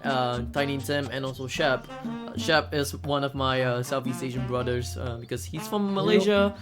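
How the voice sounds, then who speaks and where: A speedrunner from Singapore giving casual, rambling live game commentary in an excitable, giggly manner. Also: mid-range voice, moderate pace, over music.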